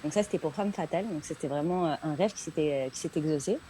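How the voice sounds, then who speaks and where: A woman's voice: low voice, quick pace, mellow, slight background noise, low-quality recording. A woman from France, a singer chatting casually as an interview guest and repeating words for emphasis. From France, Paris region.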